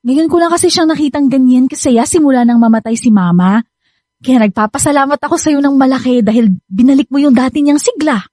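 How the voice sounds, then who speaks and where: A sweet, caring young Filipino daughter in a radio drama. Her voice is very high, affectionate and cheerful, in a close, dry recording. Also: brisk, dark tone, dry recording. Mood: grateful.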